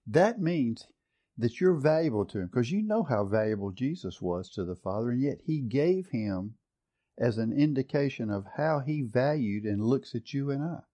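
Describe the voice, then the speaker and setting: Mellow-voiced man teaching scripture. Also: mid-range voice, animated, moderate pace. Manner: earnest, reflective, reads and compares scripture translations; repeats words while finding the phrase. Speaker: Bible study teacher. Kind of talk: teaching a Bible study session.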